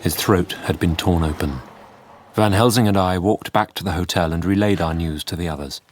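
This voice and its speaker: A man's voice: low-pitched, normal speed, background noise, lightly acted. An actor playing an earnest, determined young Victorian husband, narrating his diary in a formal, urgent manner for an audio drama. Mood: sober, measured.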